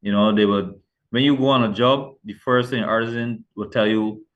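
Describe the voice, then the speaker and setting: A man's voice: lowish voice, fairly even intonation, moderate pace, dull audio. A middle-aged man from Barbados with a Barbadian accent, talking as an author guest in a casual interview, earnest and rambling with many restarts. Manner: rambling, self-correcting, earnest.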